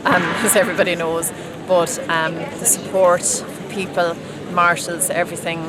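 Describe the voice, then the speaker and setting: Heartfelt Irish woman in her forties, lowish voice, recorded amid heavy noise. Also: flat delivery, normal speed. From Ireland. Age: 40s. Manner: emotional, heartfelt. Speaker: race participant. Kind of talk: street interview. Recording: loud noise and crowd.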